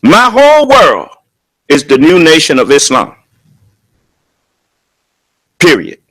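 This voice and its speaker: A man with a raised, high-pitched voice preaches a sermon to his congregation. He speaks loud and emphatic and keeps firing rhetorical questions at his listeners. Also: huge pitch swings, takes it slowly. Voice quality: loud, booming voice. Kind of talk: sermon at a prayer service.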